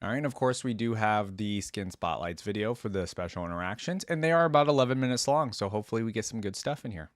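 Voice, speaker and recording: A man's voice: lowish voice, quick pace, few pauses, no room sound, studio quality. A man hosting a reaction video with casual, rambling commentary, thinking aloud in an informal way.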